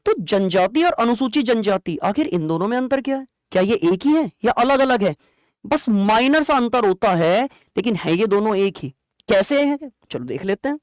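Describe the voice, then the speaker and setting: A teacher delivers an exam-prep lecture in a conversational, explanatory way at a medium pitch. Questions are posed and then answered, with key points repeated for understanding. The sound is dry, with very little room echo. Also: animated, normal speed. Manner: asks rhetorical questions and answers them.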